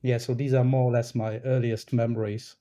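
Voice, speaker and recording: Male interview guest, 30s, native Polish speaker. Warm, relaxed timbre, thoughtful and self-effacing, feels his way through answers. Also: mid-range voice, a little fast. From Poland. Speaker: podcast guest, snooker referee.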